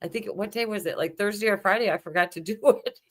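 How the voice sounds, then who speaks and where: A woman's voice: medium pitch, fast talker. A woman hosting a casual live stream, talking in a chatty, informal way. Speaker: show host.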